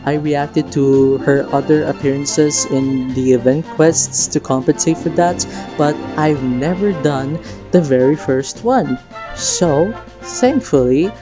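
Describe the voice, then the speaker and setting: Guy hosting a game reaction vid, kinda theatrical fanboy talk, music playing behind him. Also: a little high. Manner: theatrical, playfully devoted fan talk. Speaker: video host and gaming reactor. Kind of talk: scripted commentary mixed with casual chat.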